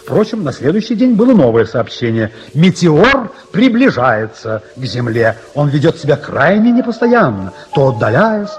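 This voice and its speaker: A man playing a courtly writer who narrates his own tale in a radio play, measured, literary and gently ironic. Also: a little high, big pitch swings, soft background music. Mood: narrating, ironic.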